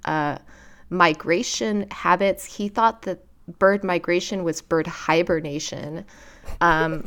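A woman hosting a casual podcast chat, bubbly and chatty and laughing often. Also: medium pitch. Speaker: podcast host.

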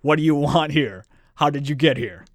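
A man's voice: a little high, lively intonation, moderate pace, no echo, pitched above the performer's own voice, close to an everyday voice. Guy doing a grumpy monster from a fantasy storybook, indignant and blustery, super dry close sound. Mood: indignant, blustering. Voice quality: raspy growl. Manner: theatrical, indignant exclamations. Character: gruff, blustering, grumpy beast-man monster lord of a manor.

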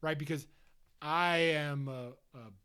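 A man's voice: fairly high pitch, slow, bright, forceful tone, clean tone. Rambling man saying "like" a lot. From Delaware County, Pennsylvania. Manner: thoughtful, rambling, heavy use of "like". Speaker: podcast co-host. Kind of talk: casual book-club chat.

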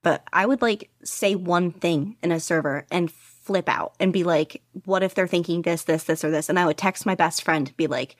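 A woman guest chatting candidly in an interview, rambling and self-deprecating with quick laughs. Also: fairly low pitch, no echo, clean studio sound. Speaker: podcast guest, podfic reader. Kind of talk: casual interview chat.

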